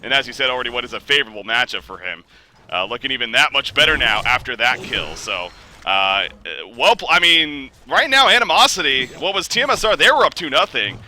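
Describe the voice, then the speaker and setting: A man casting an online game match, calling plays with excitement and joking with his co-caster. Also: brisk. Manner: excitable, joking, rising pitch on big plays. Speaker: esports caster. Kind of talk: play-by-play game commentary.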